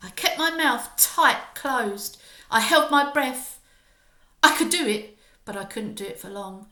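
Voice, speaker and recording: A woman reading a children's story aloud like a primary school teacher, with expressive, emphatic dramatic narration. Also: sits high, a little slow, roomy sound.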